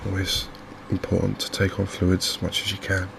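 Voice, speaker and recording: A man with an English accent giving gentle, unhurried, softly spoken ASMR commentary on a video game. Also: low-pitched, completely flat delivery, vocal fry, noisy background. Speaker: gaming video host. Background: fishing game ambience.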